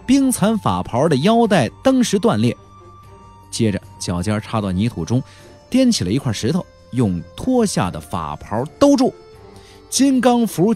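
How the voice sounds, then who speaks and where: A man narrating a fantasy novel as a dramatic audiobook storyteller, theatrical, with drawn-out emphasis and colloquial touches. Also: mid-range voice, darkish tone, slightly rough.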